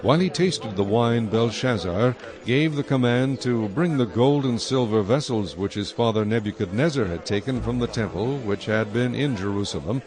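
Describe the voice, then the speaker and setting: A man's voice: mid-range voice, animated, normal speed, darkish tone. A man giving a scripted narration of scripture as a Bible narrator.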